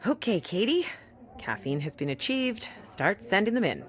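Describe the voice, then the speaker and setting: A woman's voice: mid-range voice, sing-song, normal speed, natural voice. A woman playing a weary, dogged rural doctor and mother in an audio drama, with a dry, blunt, wry delivery. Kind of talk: naturalistic audio drama acting.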